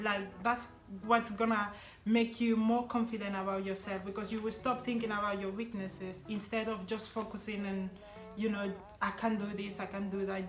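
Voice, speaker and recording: A young woman whose first language is not English, chatting casually with a friend, peppering her talk with "like" and "actually". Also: mid-range voice. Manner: chatty, frequent "like" and "actually", laughs often. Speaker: vlog host. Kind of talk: casual chat. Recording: echoey room.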